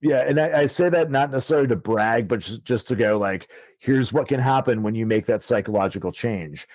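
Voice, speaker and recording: A man's voice: mid-range voice, a little fast, darkish tone, dry recording. A man in his thirties, an author guest telling his story in a casual, rambling way with many fillers and easy swearing. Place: podcast studio.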